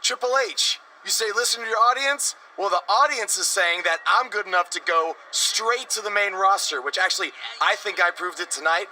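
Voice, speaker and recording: A young American up-and-coming wrestler for a video game. The voice is bright and forceful and sits a little high, earnest with a cocky streak. Faint ambience sits behind it. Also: male voice, moderate pace, a little hiss or hum, rough recording. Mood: cocky, eager. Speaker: voice actor as game protagonist. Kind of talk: video game cutscene acting.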